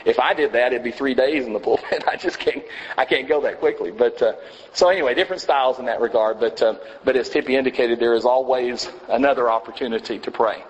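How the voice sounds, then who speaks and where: A man teaching a church class in a casual, halting way, with many fillers and restarts. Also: mid-range voice, long reverb tail, highs cut off. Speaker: preacher teaching a congregation class. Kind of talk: informal Bible class teaching. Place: church meeting room.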